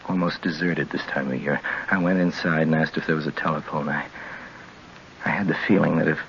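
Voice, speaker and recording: A man's voice: a bass voice, fairly bright tone. An actor playing a haunted young man driving alone, in tense 1940s radio drama style that moves between whispers and frantic questions. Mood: shaken. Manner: tense, fraught narration that sinks to whispers and rises to frantic questions. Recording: muffled old radio broadcast with faint hiss.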